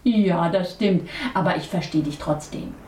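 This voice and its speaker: A woman playing a friendly, caring young female pirate, talking gently and expressively to children in a puppet play. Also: lowish voice, measured pace, voice pushed down, lightly acted. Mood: gentle, explaining. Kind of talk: children's puppet-theatre storytelling. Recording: echoey room, distant mic, faint noise.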